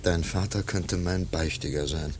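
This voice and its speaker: Earnest young man, deep, gravelly, solemn, reflective, radio play. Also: steady tone, a little slow, a little mellow, a little hiss or hum. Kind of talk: German radio play acting.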